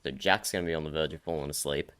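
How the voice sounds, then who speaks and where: A man hosting a livestream, croaky from just waking up, rambling and correcting himself as he chats and teases his guest. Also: a bass voice, fast, fairly bright tone. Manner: rambling, self-correcting, teasing. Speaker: streamer host. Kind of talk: casual livestream chat. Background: faint background music.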